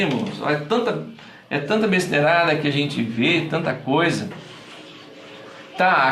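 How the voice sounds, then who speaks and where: A man's voice: mid-range voice, fairly bright tone. A Brazilian man preaching a conversational sermon to a live audience, repeating phrases for emphasis. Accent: Brazilian Portuguese. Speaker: pastor. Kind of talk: livestream sermon. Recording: echoey room, distant mic, faint noise.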